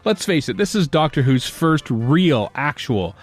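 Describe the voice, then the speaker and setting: A man's voice: fairly high pitch, very animated, measured pace. A middle-aged man hosting a fan podcast in casual, chatty, rambling talk. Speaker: podcast host.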